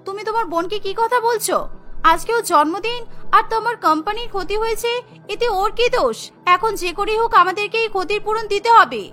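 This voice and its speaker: A woman voicing a caring, gently scolding young sister-in-law in a cartoon, speaking with earnest expression. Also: extremely high pitch, lively intonation, fast talker, soft background music, heavily acted. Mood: scolding, protective. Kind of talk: Bengali cartoon dubbing.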